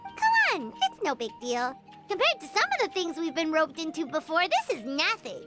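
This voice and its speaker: A tiny, cheerful fairy sidekick chirps in a very high, childlike voice that swoops with expression. The talk is casual and confident, in video game style, with loud music playing behind it. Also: female voice, wildly swooping pitch, normal speed, heavily acted. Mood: upbeat, confident.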